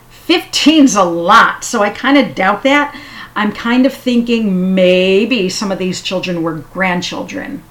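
A woman giving a casual spoken history talk, unhurried and halting with frequent fillers. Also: lowish voice. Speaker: history storyteller.

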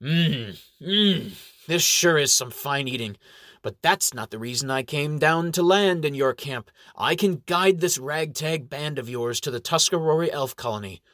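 A man's voice: fairly high pitch, animated, a little slow, fairly bright tone, no echo, studio quality. A man doing a wily old elf haggler. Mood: cheeky. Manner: cheeky, wheedling, merchant charm.

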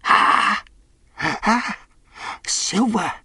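A man's voice: very high voice, unhurried, creaky, dry recording, voice pushed up, heavily acted. A man voicing a half-crazed, sly, eager marooned old pirate with rustic sailor's talk, in a storybook reading. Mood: fearful, urgent. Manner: rustic ungrammatical sailor's talk, repeats himself excitedly.

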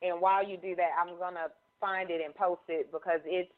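A woman's voice: fairly low pitch, flat delivery, a little fast. Encouraging woman host, effusive, phone-line sound. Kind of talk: casual conference-call chat.

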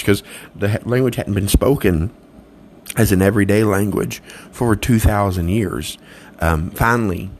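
A man's voice: deep voice, a little mellow, slight background noise. A man giving a sermon on Bible prophecy, reading from prepared notes and stopping often to correct himself. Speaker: preacher.

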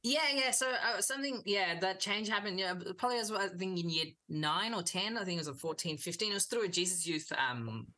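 Man, twenties, from India, bright voice, earnest, restarts often, laughs easily. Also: sits high, brisk, few pauses. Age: 20s. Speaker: podcast guest, youth minister. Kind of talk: casual interview conversation.

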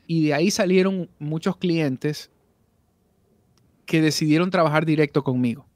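Man guest with a somewhat high voice, candid and reflective, lots of restarts, over a video call, dry sound. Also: normal speed, darkish tone, no room sound. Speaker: voice actor guest. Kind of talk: casual podcast interview.

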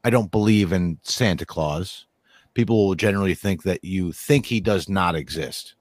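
A man in casual conversation, rambling with many restarts and a steady stream of "like". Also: sits low, normal speed, no echo, studio quality. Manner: rambling, restarts often, says "like" a lot.

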